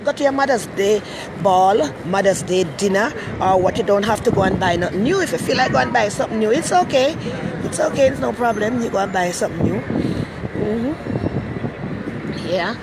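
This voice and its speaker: A woman's voice: medium pitch, lively intonation, a little fast. A woman from Jamaica with a Jamaican accent, hosting a rambling, chatty live stream from a busy city station area and greeting viewers by name. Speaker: talk show host. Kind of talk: casual live-stream chat. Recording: loud street and traffic noise.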